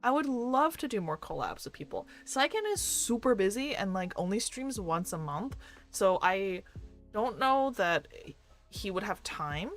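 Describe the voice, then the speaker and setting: Chatty woman streamer, lively pitch. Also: unhurried, faint music behind. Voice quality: fairly deep voice for a woman. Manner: rambling, filler-heavy, self-correcting. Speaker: streamer and doctor. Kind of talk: casual chat with live chat readers. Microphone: desk microphone.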